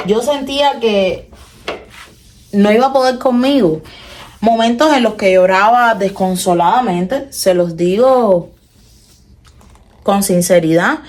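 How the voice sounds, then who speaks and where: A young woman with a Cuban accent talks earnestly to her viewers in a personal confessional vlog, often slowing and trailing off as she reflects. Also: medium pitch, a little mellow. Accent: Cuban Spanish. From Cuba. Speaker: vlogger. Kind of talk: personal confessional talk to camera.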